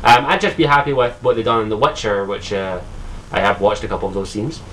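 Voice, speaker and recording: A man's voice: lowish voice, steady tone, brisk, a bit bright, slight background noise, low-quality recording. A young man from Scotland with a Scottish accent, talking casually and profanely about a game, full of ums and restarts. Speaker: gaming vlogger. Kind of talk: casual solo commentary.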